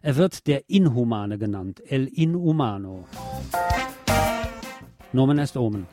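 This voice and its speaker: A man's voice: medium pitch, lively intonation, unhurried, over loud music. A man presenting a scripted film review as a radio host.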